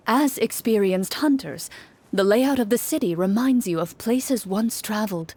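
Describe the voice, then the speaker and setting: A woman voicing a composed game master in a video game, narrating a fantasy adventure in a measured, formal way. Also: a little high, warm tone, breathy edge. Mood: evocative.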